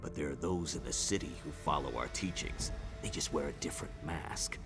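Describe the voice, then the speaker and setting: A man playing a stern, devout orc elder in a fantasy video game, with a gravelly voice and a grave, measured, cryptic manner. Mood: patient, ominous. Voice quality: very deep, gravelly. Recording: background music under the voice.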